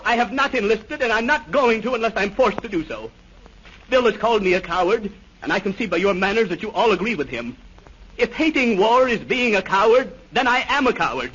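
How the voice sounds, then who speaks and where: An actor playing a gentle but impassioned young conscientious objector, declaiming earnestly in 1930s radio drama style. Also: male voice, high voice, husky, heavily acted. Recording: dull, noisy 1930s radio recording.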